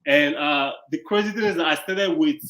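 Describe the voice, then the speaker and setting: A young man from Nigeria telling his story as an interview guest, in a halting, easygoing way with many pauses and fillers. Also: fairly high pitch, fairly bright tone.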